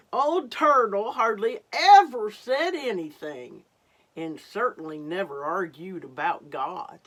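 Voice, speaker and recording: A woman reading a story aloud to young children, dramatic and unhurried, dropping into hushed asides. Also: sits low, slightly rough.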